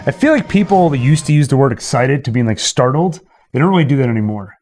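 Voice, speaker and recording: A man hosts casually in a medium-pitched voice. He explains and narrates in an informal, chatty way, with faint music underneath. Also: expressive, quick pace, darkish tone. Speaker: stream host narrating a radio play. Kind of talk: casual chat, explaining the scene to viewers.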